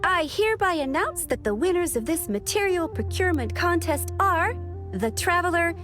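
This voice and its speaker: Young game secretary. High, polite, official, faint music behind. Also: female voice, lively intonation, moderate pace, fairly bright tone. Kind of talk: scripted video game voice acting.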